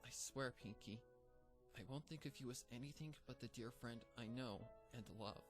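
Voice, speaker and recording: A man voicing a gentle, caring female unicorn pony who talks in a soft, pleading, reassuring way. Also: medium pitch, normal speed, music in the background, lightly acted.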